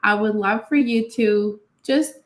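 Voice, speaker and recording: A woman's voice: medium pitch, measured pace. Webinar host woman in an echoey room. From Honduras. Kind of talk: live webinar hosting.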